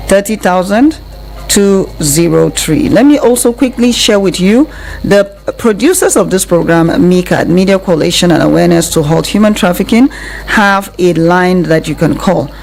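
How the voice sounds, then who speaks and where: A woman's voice: lowish voice, a little mellow, low-quality recording. A woman with a Nigerian accent hosting a radio programme in a conversational live presenting style.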